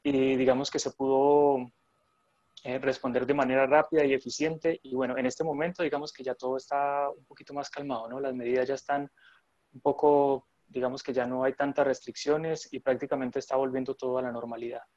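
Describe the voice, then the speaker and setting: A man's voice: medium pitch, flat delivery, a little fast, darkish tone, dry recording, lo-fi sound. A man from Colombia, a nurse in his thirties, chatting in a remote interview with many fillers and restarts.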